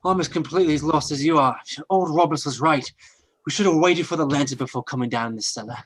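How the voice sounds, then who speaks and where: A man's voice: on the high side, fast, breathy edge. An actor playing an anxious man helping a rescue in an old-time radio horror play, urgent and uneasy. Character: anxious male companion Stan, helping the rescue.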